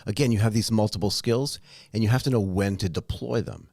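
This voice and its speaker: A man's voice: lowish voice, a little fast, creaky, darkish tone, no room sound, studio quality. A man hosting a corporate podcast interview, upbeat and prompting his guests with questions. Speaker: podcast host.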